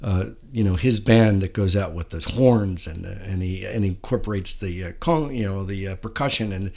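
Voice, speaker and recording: Rambling male host, low voice. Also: moderate pace, vocal fry, a little mellow. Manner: friendly, rambling, with many uhs. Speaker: show host. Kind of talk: casual interview chat.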